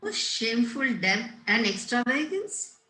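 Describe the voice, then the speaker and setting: Roomy, high, swooping woman as a prim governess. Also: sing-song, unhurried, sharp tone, full character voice. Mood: stern. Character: Miss Prism, prim, moralizing middle-aged governess. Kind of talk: amateur table read of a stage comedy. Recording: roomy echo.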